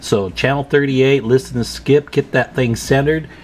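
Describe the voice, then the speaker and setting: A man's voice: mid-range voice, steady tone, normal speed, a little hiss or hum. A man presenting a hands-on repair tutorial in a casual, plain-spoken, advising way.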